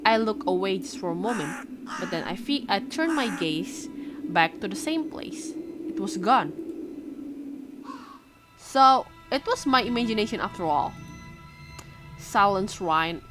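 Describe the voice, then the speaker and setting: A woman's voice: medium pitch, measured pace, long pauses. A young woman streamer whose first language is Indonesian, reading a visual novel aloud and breaking off to react and greet her chat. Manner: reads the story dramatically, breaks off for excited reactions and greetings to chat. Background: game music.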